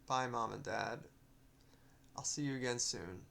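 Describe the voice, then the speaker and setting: A man's voice: medium pitch, a little slow, vocal fry, bright, forceful tone, heavily acted. A man reading the lines of a young boy miner in a story, earnest and plain-spoken. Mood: fond farewell. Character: young boy miner, matter-of-fact, lonely wanderer.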